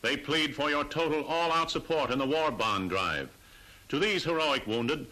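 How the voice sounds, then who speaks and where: A 1940s newsreel soundtrack carries an American man with a very sharp, forceful voice, pitched a little high. He speaks solemnly and emphatically, like an orator making an appeal. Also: poor audio. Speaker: newsreel announcer.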